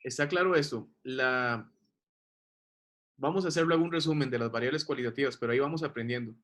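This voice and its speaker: A man's voice: mid-range voice, a little fast, fairly bright tone. A man teaching a class, explaining patiently and checking that the students follow. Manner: patient, checks understanding with questions.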